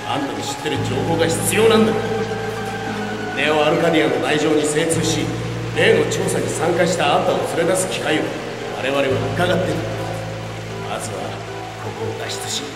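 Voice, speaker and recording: An actor playing a blunt, insistent young male android rebel who talks roughly and casually. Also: on the high side, animated, moderate pace, long pauses, natural voice, low-quality recording. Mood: urgent. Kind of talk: Japanese audio drama acting. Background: background music.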